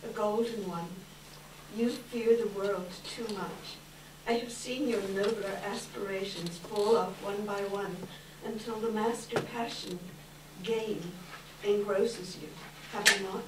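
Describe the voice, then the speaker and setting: A woman playing a sorrowful, dignified young fiancée in a measured, formal stage reading. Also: mid-range voice, fairly even intonation, lightly acted. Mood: sorrowful, resolute. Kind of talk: readers theater stage reading. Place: indoor live event, distant microphone. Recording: distant, echoey hall with clear noise.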